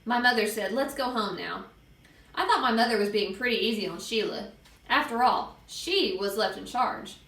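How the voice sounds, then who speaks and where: A woman reading a children's book aloud as an expressive storyteller. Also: on the high side, moderate pace, echoey room.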